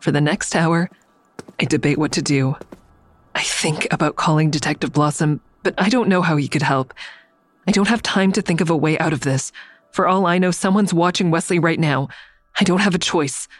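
An actor playing an anxious narrator who recounts a tense ordeal in measured first person, in an audio drama. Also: medium pitch, dry recording, lightly acted. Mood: resigned dread.

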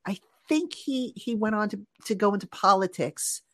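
A middle-aged woman with a New Jersey accent hosts a relaxed sports podcast. She tells long, rambling stories, often restarting her sentences. Her voice sits at a medium pitch. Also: moderate pace. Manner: chatty storyteller, frequent restarts. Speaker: podcast host. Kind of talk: casual sports podcast chat.

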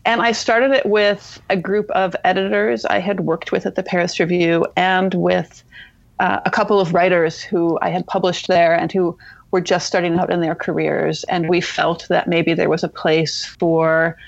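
A woman with a lowish voice, joining a podcast remotely and talking in a measured, reflective way. Her line sounds dull and lo-fi, and the sound is very dry, with no room around it. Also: creaky, no echo. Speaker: guest editor. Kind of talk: podcast interview. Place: remote location.

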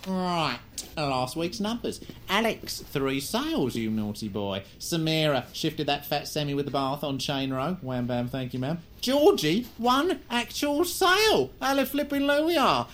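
An actress playing a brash, sarcastic British sales manager, full of mocking, theatrical banter in an audio drama. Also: female voice, low-pitched, very animated, measured pace, a little hiss or hum. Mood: brisk, mocking. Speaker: sales team boss.

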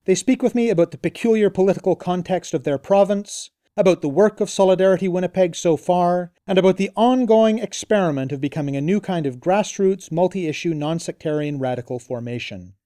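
A man hosting a radio interview, reading a measured scripted introduction. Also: high-pitched, dark tone, dry recording, clean studio sound. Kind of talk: scripted narration.

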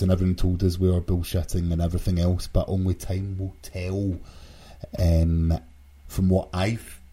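Low, very mellow male podcast host. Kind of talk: casual chat.

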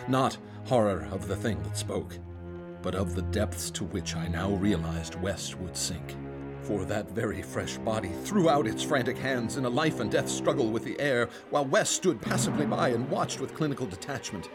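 An actor playing a haunted doctor who narrates gravely and grows excited in scenes. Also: male voice, on the low side, moderate pace, loud music behind the voice, close to an everyday voice. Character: frightened male doctor assistant and narrator. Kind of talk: radio drama narration.

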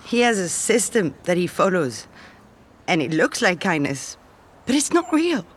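Guarded woman, slow, swinging intonation. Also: on the low side, sing-song, breathy edge, heavily acted. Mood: bitter, upset. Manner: hesitant, sighing. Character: guarded, upset woman, hesitant and vulnerable with her friend. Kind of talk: naturalistic audio drama acting.

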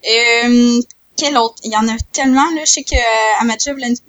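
A woman with a Quebec French accent and a high voice chats on a casual podcast. She talks freely and teases her co-hosts. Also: steady tone, a bit bright. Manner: chatty, teasing, quick to laugh. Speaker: podcast co-host. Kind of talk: casual chat.

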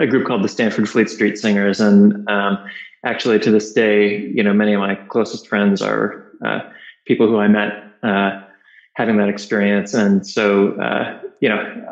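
A man answering interview questions in a measured way, pausing and using fillers as he recalls. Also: lowish voice, reverberant, dull audio. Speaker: interview guest. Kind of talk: casual video-call interview.

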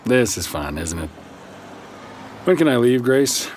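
Estranged son: animated, wry, unwilling guest, medium voice with light ambience, sci-fi radio drama. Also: male voice, medium pitch, unhurried, a little hiss or hum. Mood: wry, reluctant. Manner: wry, sardonic. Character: sarcastic, reluctant estranged adult son. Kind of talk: naturalistic audio drama dialogue.

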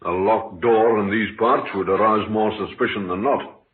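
An actor playing a measured, courteous, shrewd police inspector in an old radio drama, understated and authoritative. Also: male voice, on the low side, steady tone, breathy edge. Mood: composed. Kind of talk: 1950s radio drama acting. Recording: tinny old radio, faint hiss.

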